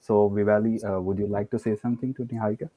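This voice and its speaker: A man's voice: on the low side, flat delivery, fast, mellow. A man with a Pakistani English accent hosting an online tech event on a video call, formal and polite. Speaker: event host. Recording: dull lo-fi sound.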